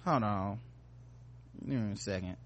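A man's voice: fairly low pitch, a little slow, slight background noise. A man hosting a podcast in casual, joking banter with informal, profane language. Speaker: podcast host.

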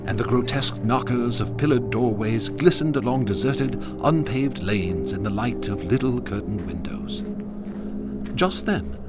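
A man narrating a radio drama in a measured, ominous storytelling manner. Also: medium pitch, lively intonation, over loud music.

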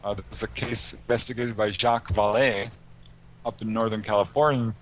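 A man's voice: on the low side, steady tone, moderate pace, rough recording. A man giving informal, explanatory answers as an interview guest. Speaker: guest, UFO researcher.

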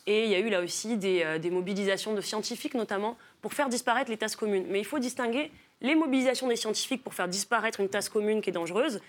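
A woman's voice: mid-range voice, fast talker. Hesitant, thoughtful woman guest from Marseille. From Marseille, France. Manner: thoughtful, many hesitations with euh. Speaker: guest, philosopher and author. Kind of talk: studio interview.

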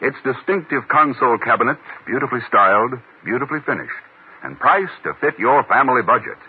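A man's voice: medium pitch, breathy edge, phone-quality sound. A man announcing and reading sponsor copy in a 1950s radio style. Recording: band-limited 1950s radio broadcast, music behind.

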